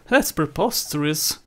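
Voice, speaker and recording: A man's voice: a little high, lively intonation, slow, a bit bright. A young man with a Bulgarian accent, whose first language is Bulgarian, giving jokey, rambling commentary over a game walkthrough. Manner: jokey, rambling, laughs at his own jokes. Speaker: gameplay commentator. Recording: faint game music underneath.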